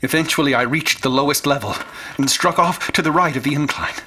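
An actor playing a haunted explorer in a radio horror drama, narrating a terrifying ordeal with intense, deliberate and sometimes hushed phrasing. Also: male voice, on the high side. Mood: driven, urgent. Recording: clear background noise and ambience.

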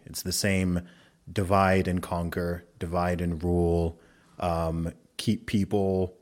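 Deep-voiced man hosting a podcast. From Saudi Arabia. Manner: measured, reflective, builds long arguments. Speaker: podcast host. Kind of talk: conversational interview.

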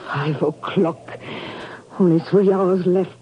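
A woman's voice: on the low side, slow. An actress playing an earnest woman agent in a radio drama, with formal, precise phrasing. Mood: anxious, grim. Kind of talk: 1950s radio drama acting. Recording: muffled, noisy 1950s radio recording.

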